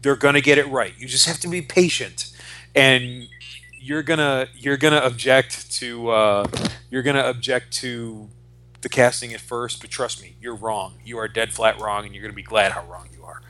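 A man in his forties with a bright, forceful voice at a middling pitch. He talks as a casual podcast co-host, opinionated and emphatic, doubling back on phrases for stress and dropping swear words freely. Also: steady tone, moderate pace. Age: 40s. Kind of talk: casual opinionated pop culture discussion.

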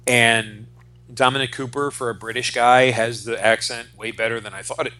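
A man in his forties with a bright, forceful voice at a middling pitch. He talks as a casual podcast co-host, opinionated and emphatic, doubling back on phrases for stress and dropping swear words freely. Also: steady tone, moderate pace, low-quality recording. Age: 40s. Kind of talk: casual opinionated pop culture discussion.

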